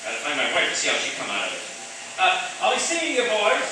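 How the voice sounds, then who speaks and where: Man playing a shifty, high-pitched, wheedling schemer. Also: wildly swooping pitch, quick pace. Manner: blustering, defensive, slangy, stammering. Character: shifty, wheedling tenement husband, a cowardly working-class schemer. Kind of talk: radio theatre acting. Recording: echoey room, distant mic, clear noise.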